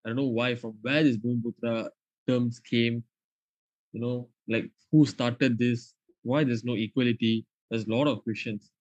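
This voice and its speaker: A man's voice: medium pitch, fairly even intonation, darkish tone, no room sound. Nineteen-year-old Malaysian English-accented male guest; hesitant, restarting speech; dry, muffled recording. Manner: halting, many restarts and fillers. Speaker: podcast guest, student. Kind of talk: casual podcast chat.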